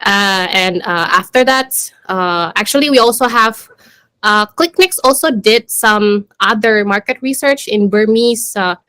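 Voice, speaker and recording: A woman speaks as a startup manager presenting to a business audience. Her delivery is informal and conversational, with a hesitant flow full of small filler phrases. Her pitch sits in a middle range for a woman, and the sound is dry with little room echo. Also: a little slow, pushed and bright, clear, resonant tone. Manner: hesitant, conversational delivery with frequent "you know" and "like".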